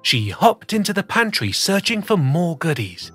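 A children's story host reading a picture book in an expressive, deliberate way for young listeners. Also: medium pitch, faint music behind. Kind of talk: animated scripted picture-book narration.

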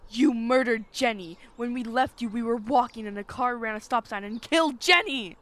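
A woman's voice: on the high side, normal speed, a bit bright, lightly acted. A woman playing a bitter, accusing young girl grieving her friend in a radio drama. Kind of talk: radio horror drama acting.

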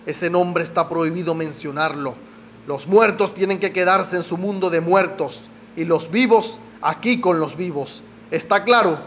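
An actor playing a menacing, guilt-ridden killer in a radio soap opera, curt and threatening. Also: male voice, on the high side, measured pace, fairly bright tone, a little hiss or hum.

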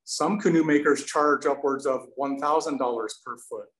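Man in his forties, slightly high voice, earnest, video call, roomy echo. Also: moderate pace, a bit bright. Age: 40s. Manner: earnest, thankful, some restarts. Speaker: presenter, canoe maker. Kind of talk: casual Q&A answers. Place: indoor studio with windows. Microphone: video call, webcam.

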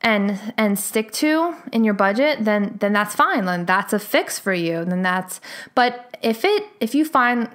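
A woman's voice: mid-range voice, animated, unhurried, fairly bright tone. A young adult woman hosting a solo podcast, talking casually with frequent laughs and lots of "like" fillers. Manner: chatty, laughs often, many "like" fillers. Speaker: podcast host.

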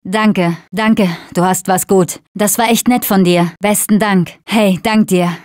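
A young woman voicing a tough video game survivor of a zombie apocalypse in short, casual, slangy, expressive lines. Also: mid-range voice, slow pace, no echo, studio quality, close to an everyday voice. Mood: grateful. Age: young adult.

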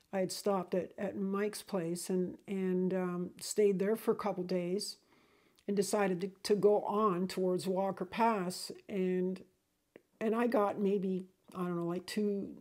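Mellow-voiced woman telling a trail story. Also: medium pitch. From outside the United States. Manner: rambling, self-correcting, animated when quoting people. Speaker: hiking vlogger. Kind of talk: casual storytelling to camera. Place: small room indoors with LED light bar.

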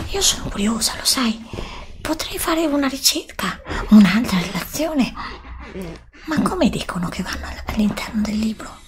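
A woman playing a curious, stubborn student in a whispered ASMR roleplay, hushed, insistent and pleading. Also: on the high side.

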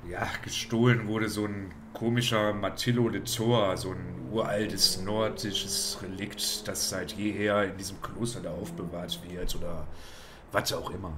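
A man's voice: low voice, steady tone, unhurried, over music. A man playing a jaded, dismissive policeman in a parody detective audio play, talking in an offhand, grumbling, colloquial way. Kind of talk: parody audio drama acting.

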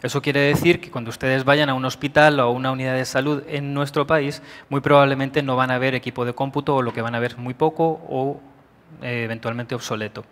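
A middle-aged man from Spain with a Spanish accent, giving a measured conference talk with frequent pauses in a large auditorium. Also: mid-range voice, steady tone, a bit bright. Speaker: conference speaker. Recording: long hall reverb.

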